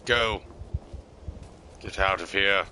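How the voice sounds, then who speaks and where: Male game streamer doing live roleplay, very unhurried and halting, with a bright edge to the voice. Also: low-pitched, slight background noise. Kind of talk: live in-character gameplay roleplay.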